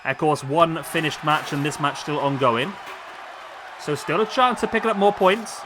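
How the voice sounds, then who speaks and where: A man commentating on a wrestling video game, losing his voice, chatty and excitable as he calls the action. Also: fairly high pitch, moderate pace. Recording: loud game crowd noise behind. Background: video game crowd and arena sound.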